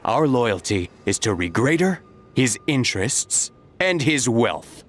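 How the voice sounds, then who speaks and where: An actor playing a haughty, money-minded mercenary debt collector in a video game, speaking in declamations. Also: male voice, medium pitch, big pitch swings, takes it slowly, noisy background. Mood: haughty, confident.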